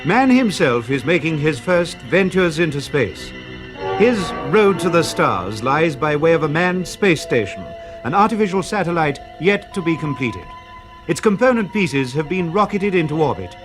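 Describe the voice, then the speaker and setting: A man's voice: a little high, big pitch swings, moderate pace. A man narrating a 1950s radio space adventure as a grave, declamatory announcer. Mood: solemn, portentous. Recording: old 1950s radio broadcast over music.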